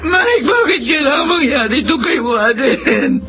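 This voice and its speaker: A woman's voice: high-pitched, sing-song, unhurried, a bit bright, slight background noise, exaggerated voice. A woman playing a distraught, stubborn mother in a radio soap, wailing and pleading melodramatically. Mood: grieving, tearful. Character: distraught, stubborn mother fighting for her dying son. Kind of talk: radio soap drama acting. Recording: dull audio under clear music.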